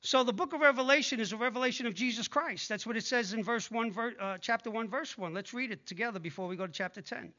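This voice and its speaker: High bright-voiced older male pastor. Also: fast talker, hardly any pauses, lo-fi sound. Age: 60s. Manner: conversational preaching, drops to a hushed voice in prayer. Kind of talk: sermon with prayer and scripture reading.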